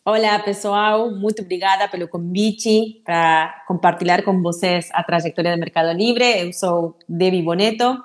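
A middle-aged woman from Argentina with an Argentine Spanish accent, a native Spanish speaker, answering as a podcast guest and pausing thoughtfully with fillers. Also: fairly low pitch, fairly even intonation. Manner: thoughtful, hesitant with frequent fillers. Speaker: guest, HR executive. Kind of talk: podcast interview.

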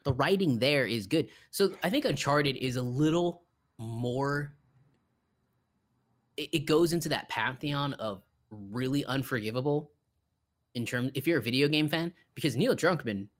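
A man's voice: mid-range voice, animated, a little slow. A man co-hosting a podcast, chatting casually and with strong opinions about films. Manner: opinionated, conversational. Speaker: podcast co-host. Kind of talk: casual film review chat.

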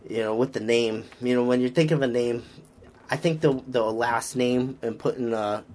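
A man's voice: medium pitch, a little hiss or hum. A man with a Boston accent, a strength coach chatting casually on a podcast, rambling with lots of fillers and restarts. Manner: rambling, frequent fillers and restarts, upbeat about lifting.

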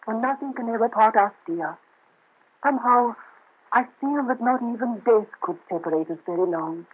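An actress playing a devoted young French bride, speaking tenderly in a 1930s radio drama style. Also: female voice, fairly high pitch, expressive, normal speed, husky. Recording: tinny, scratchy vintage radio broadcast.

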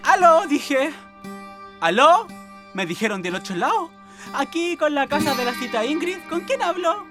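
An actress playing an excitable, naive, scheming housemaid in a radio drama, with a Chilean accent and dramatic storytelling manner. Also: female voice, sits high, unhurried, music in the background. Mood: excited.

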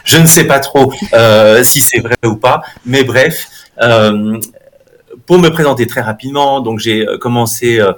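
A man's voice: medium pitch, a little slow, slight background noise. A middle-aged man from France, answering interview questions in a conversational way, with many fillers and restarts. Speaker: guest, marketing institute dean.

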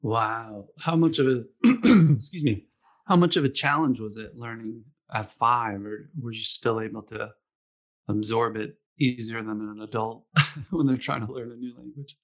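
A man's voice: medium pitch, fairly even intonation, normal speed, fairly bright tone. Rambling man hosting a chat. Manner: rambling, with many fillers and quoted asides. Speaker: podcast host, former runner. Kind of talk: casual interview chat.

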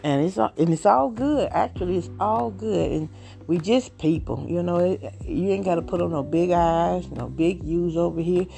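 A woman's voice: on the low side, moderate pace, vocal fry. A woman in her late fifties with a Southern US accent, hosting a podcast and talking about herself in an earnest, rambling way. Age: 50s. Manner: earnest, rambling, warm-hearted testimony. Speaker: podcast host. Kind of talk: casual talk.

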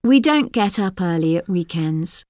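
A woman's voice: lowish voice, lively intonation, unhurried, a little mellow, no echo, clean studio sound. A woman reading example sentences for language learners, each one carefully enunciated. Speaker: course audio speaker. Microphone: dry studio mic.

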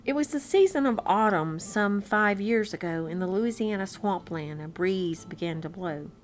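A woman reading a children's story aloud in an expressive, unhurried, amused manner, with chatty asides. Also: medium pitch. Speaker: children's story-time reader. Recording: classical violin music bed. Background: classical violin concerto background track.

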